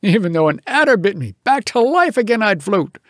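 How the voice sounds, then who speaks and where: A man voicing an excitable, boastful bush settler in a poem, declaiming grandly. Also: sits high, a little fast, no echo, studio quality, voice pushed up. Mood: eager, insistent.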